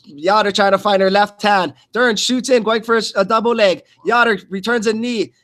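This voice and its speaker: High-pitched man calling a fight, stumbling. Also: fairly even intonation, a bit bright. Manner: halting, repeats words and stumbles mid-phrase. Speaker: play-by-play commentator. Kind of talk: live blow-by-blow sports commentary.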